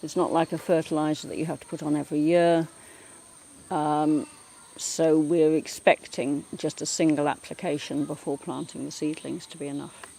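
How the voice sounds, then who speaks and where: A woman's voice: deep voice, little pitch movement, normal speed, dark tone, low-quality recording. A woman giving an unscripted, explanatory talk as an agricultural project expert. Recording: clear outdoor background noise with insects.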